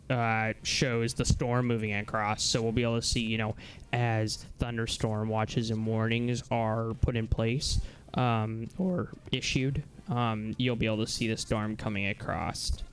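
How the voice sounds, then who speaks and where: A man hosting a casual live software demonstration, talking haltingly as he thinks aloud. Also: medium pitch, flat delivery. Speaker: live stream host.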